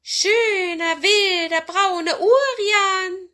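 Ancient mewing cat, very high. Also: female voice, bright, forceful tone, full character voice. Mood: flattering, urgent. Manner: meowing, drawn-out wheedling speech. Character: ancient talking cat, female, sly and coaxing.

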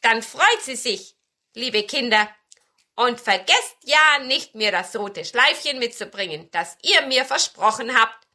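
A woman's voice: on the high side, lively intonation, a little slow, bright, forceful tone, full character voice. A woman voicing a kindly old male broom in a storybook, speaking earnestly and with emphasis. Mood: friendly.

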